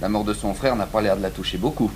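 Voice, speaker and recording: A man's voice: fairly low pitch, quick pace, natural voice. An actor playing a busy, matter-of-fact male police officer, speaking in a clipped, businesslike way in a French radio drama. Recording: faint noise, old radio recording.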